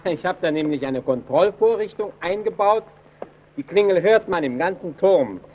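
An actor playing a timid, self-effacing lighthouse engineer in a German radio drama, hesitant, stammering and apologetic. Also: male voice, high-pitched, lively intonation, moderate pace. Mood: eager. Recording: dull old radio recording.

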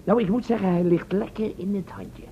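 Actor as a high-voiced, breezy boastful adventurer, tinny recording. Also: male voice, fairly even intonation, fairly quick, lightly acted. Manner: colloquial, cheeky storytelling. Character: breezy, boastful male time-travel test subject, unschooled. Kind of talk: radio play acting. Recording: tinny, band-limited old radio recording, hiss.

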